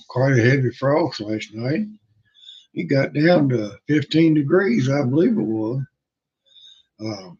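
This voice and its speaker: An older man hosting a livestream, chatting casually in a hoarse, rough voice, halting and folksy with many pauses and fillers. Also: medium pitch. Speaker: livestream host. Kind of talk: casual rambling chat. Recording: dull lo-fi sound.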